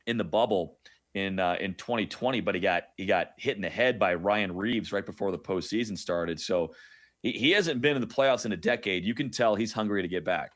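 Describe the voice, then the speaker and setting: Low-voiced man talking sports. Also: fairly quick. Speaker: radio play-by-play announcer as guest analyst. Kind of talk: conversational sports analysis.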